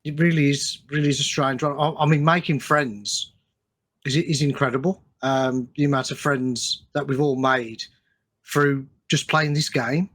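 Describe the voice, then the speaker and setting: A man hosting a casual chat, phrasing things in a halting, hesitant way. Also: medium pitch, fairly even intonation, a bit bright. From West Midlands, England. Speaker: podcast host.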